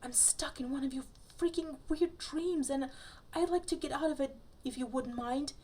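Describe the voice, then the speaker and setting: A woman's voice: high-pitched, moderate pace, dark tone, pitched above the performer's own voice, natural voice. A woman voicing a frantic, exasperated female FBI agent, urgent and emphatic, in a story read aloud. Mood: desperate.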